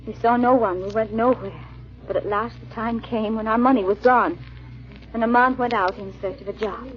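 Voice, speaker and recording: A woman's voice: on the high side, fairly even intonation, normal speed, close to an everyday voice. A woman playing a hunted, anxious young wife who recounts her escape in a 1940s radio drama, on a muffled old broadcast recording. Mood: grave, recounting.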